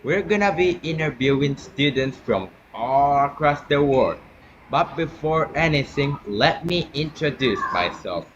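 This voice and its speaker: Brief: teen boy from Colombia, Spanish speaker, hosts a student interview, slow and careful, muffled noisy recording. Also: fairly high pitch, animated. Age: teens. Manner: slow, careful non-native English with restarts. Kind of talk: casual school podcast interview. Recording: muffled with noticeable background noise.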